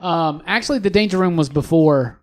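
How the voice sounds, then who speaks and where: A man's voice: on the high side, a little fast. A man co-hosting a podcast in casual pop culture chat, talking with a fan's enthusiasm and emphatic words. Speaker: podcast co-host.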